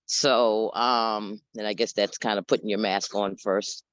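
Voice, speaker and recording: A woman with a deep voice and a New York accent, sharing plainly and reflectively in a group video call. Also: steady tone, a little slow, no room sound, muffled recording. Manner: straightforward, reflective, laughs between thoughts. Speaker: group participant.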